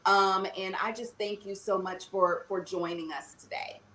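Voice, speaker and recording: A woman's voice: medium pitch, fairly even intonation, normal speed, bright, forceful tone, echoey room, a little hiss or hum. A woman hosting a podcast interview, bubbly and upbeat, often saying "okay" and "y'all". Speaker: podcast host.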